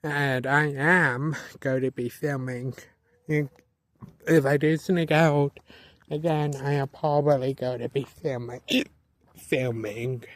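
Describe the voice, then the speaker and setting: Slow, rambling young man on a street. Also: medium pitch, fairly even intonation, no room sound. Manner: slow, repetitive, restarts sentences often. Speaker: vlogger. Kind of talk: casual vlog narration. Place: outdoors on a street at night.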